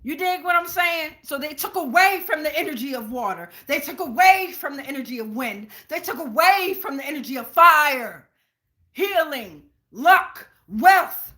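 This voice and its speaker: A woman's voice: high-pitched, expressive, moderate pace, pushed and bright. An American woman giving a casual live tarot reading in a slangy, profane, rambling way. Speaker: tarot reader, channel host.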